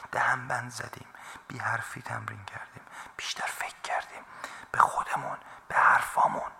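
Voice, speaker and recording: A man narrating an inner monologue in a hushed, creaky whisper. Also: medium pitch.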